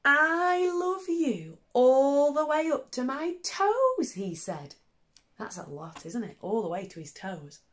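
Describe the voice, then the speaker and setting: A woman primary teacher reading a picture book to young children, expressively and with questioning asides to them. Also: medium pitch. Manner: animated, asks tag questions, child-directed.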